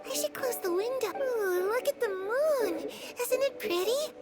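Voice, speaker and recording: A woman voicing a gentle, innocent young girl, wistful and anxious, in a dramatic video game scene. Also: extremely high pitch, animated, a little slow. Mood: dreamy. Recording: music under the dialogue.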